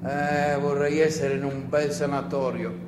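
A man's voice: mid-range voice, little pitch movement, unhurried, natural voice. A man playing a weary, sardonic partisan fighter in a theatrical radio play, rambling and needling his listeners with rhetorical questions. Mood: sardonic teasing. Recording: roomy echo with faint noise.

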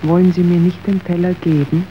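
An actress playing a hungry, destitute, resigned wife in a radio drama, with halting, subdued speech. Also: female voice, on the low side, flat delivery, lightly acted. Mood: desperate, resigned. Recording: dull, noisy old radio recording.

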